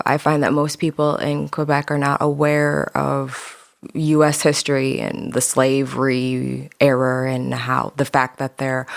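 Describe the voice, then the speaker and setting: Low-voiced American woman chatting. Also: vocal fry, dry recording, studio quality. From United States. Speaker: podcast guest. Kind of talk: casual interview conversation.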